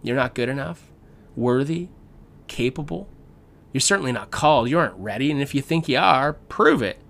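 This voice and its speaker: A man's voice: mid-range voice, animated, normal speed, gravelly, fairly bright tone. A man voicing a snarky, critical adversary spirit, goading and taunting in a sermon retelling. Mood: accusing.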